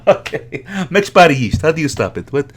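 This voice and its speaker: A man's voice: on the high side, big pitch swings, racing, slightly rough. A man playing a chatty, clueless Azorean Portuguese immigrant with a Portuguese accent and broken English, laughing often as he gets sidetracked. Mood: cheerful. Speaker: podcast guest. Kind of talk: comedy character monologue.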